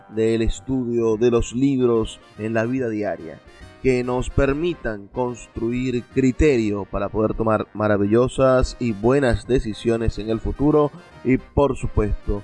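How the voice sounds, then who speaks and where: A Venezuelan man presents a radio program in a medium-pitched voice. His phrasing is deliberate and measured, and music plays softly behind him. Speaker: radio host.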